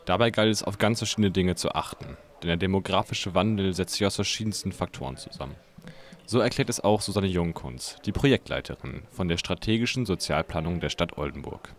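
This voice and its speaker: A man's voice: deep voice, a little fast. A man reading scripted narration as a news reporter.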